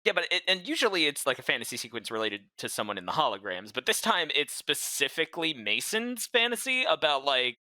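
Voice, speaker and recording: A man with a very bright, sharp voice and lively swings in pitch. He co-hosts in a casual, halting, incredulous way, swearing now and then. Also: no room sound. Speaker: podcast co-host. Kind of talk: casual chat and commentary.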